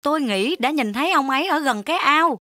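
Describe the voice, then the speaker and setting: A woman's voice: high voice, animated, brisk, heavy background noise. A woman voicing a helpful, cautious long-necked dinosaur in a children's cartoon. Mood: cautioning.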